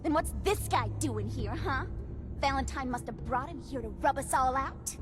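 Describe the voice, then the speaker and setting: An actress playing a mean young gangster's moll in a video game, taunting, sneering and petulant. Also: female voice, sits high, animated, normal speed, over music. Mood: angry, scornful.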